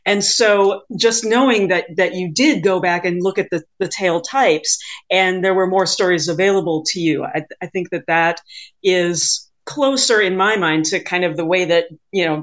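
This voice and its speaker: A woman interviewing in a conversational style, with thoughtful, halting phrasing. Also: on the low side. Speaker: podcast interviewer.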